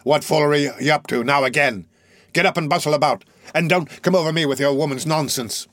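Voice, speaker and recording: A man's voice: medium pitch, fairly even intonation, normal speed, a bit bright, no room sound, lightly acted. Storybook villain played by a man: ill-tempered housebreaker, surly growl, snapping orders, close dry sound. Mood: savage, impatient. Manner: growling, cursing, impatient.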